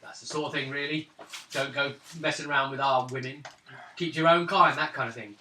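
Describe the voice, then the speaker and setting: A man's voice: mid-range voice, fairly even intonation, brisk, pushed and bright. A man improvising narration and dialogue as a tabletop game master. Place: room around a gaming table. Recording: roomy, distant mic, faint noise.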